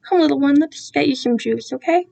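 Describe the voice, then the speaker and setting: A woman's voice: high voice, a little fast, vocal fry, warm tone. A woman voicing a doting yet controlling male captor, with a patronizing manner, in a dramatic story reading. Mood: soothing, doting. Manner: cooing, patronizing.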